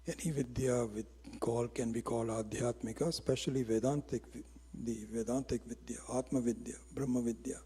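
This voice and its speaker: A man whose first language is Hindi, giving an informal spiritual lecture on Vedanta in a reflective, unhurried way, with frequent pauses and restarts. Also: medium pitch, dark tone, husky, long reverb tail. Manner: reflective and unhurried, pausing often with restarts and fillers. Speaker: Vedanta teacher.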